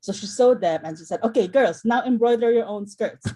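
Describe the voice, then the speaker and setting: A woman's voice: a little high, brisk, mellow, husky. A middle-aged woman from the Philippines, chatting casually on a video call, rambling with fillers and restarts. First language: Filipino. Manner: rambling, many fillers and restarts, laughs often. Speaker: workshop participant.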